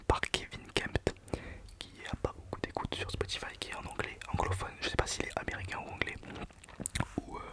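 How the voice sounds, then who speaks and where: A young man whispering a casual, self-mocking countdown of his favourite songs for his channel. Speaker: channel host. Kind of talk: whispered ASMR music countdown.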